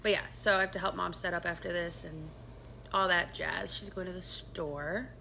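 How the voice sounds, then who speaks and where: A woman's voice: on the low side, fairly even intonation, normal speed, creaky, sharp tone, slight background noise, rough recording. A young woman co-hosting a podcast in casual chat, a bit sniffly from allergies, chatty and laughing. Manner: chatty, laughing, drops to a whisper for secrets. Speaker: podcast co-host.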